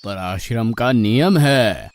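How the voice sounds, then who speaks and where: Man playing a slow-speaking, patient ashram guru. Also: medium pitch, expressive. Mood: explaining. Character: patient, pious male ashram guru, gently exasperated. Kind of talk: animated moral story dialogue in Hindi.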